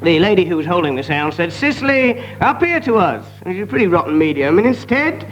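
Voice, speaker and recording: A man with a fairly high voice improvises a comic story, wandering off into halting, mock-learned asides. The sound is muffled and clearly noisy. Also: sing-song. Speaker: comedy panellist. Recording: muffled broadcast with background noise.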